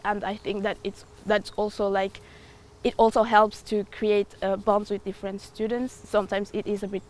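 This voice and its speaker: A woman's voice: mid-range voice, darkish tone. Woman in her twenties, hesitant. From Ecuador. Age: 20s. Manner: thoughtful, hesitant with frequent fillers. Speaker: student. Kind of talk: interview answers.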